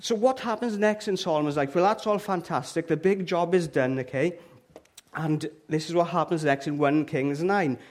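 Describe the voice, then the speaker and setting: A Welsh man in middle age, talking to a church crowd in a relaxed, joking way. His voice sits a little higher than most men's, and he keeps checking in with the room as he goes. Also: normal speed. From Wales. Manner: chatty and humorous, often checks in with "okay?" and "all right?". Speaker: church speaker. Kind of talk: lively, joking sermon to a live congregation. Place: church hall with an audience.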